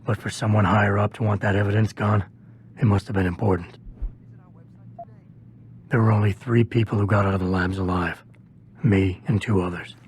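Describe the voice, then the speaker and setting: An actor playing a stoic security agent in a video game, speaking in a restrained, terse and deliberate way. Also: male voice, fairly low pitch, steady tone, a little hiss or hum, close to an everyday voice. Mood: somber, restrained. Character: stoic gravelly male security agent investigating a cover-up. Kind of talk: video game cutscene acting.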